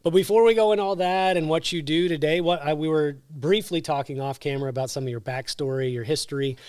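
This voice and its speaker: A man's voice: on the high side, quick pace, darkish tone, smooth, no echo. A man hosting a podcast interview, eager and rambling as he asks his guest questions. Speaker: podcast host. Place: studio couch.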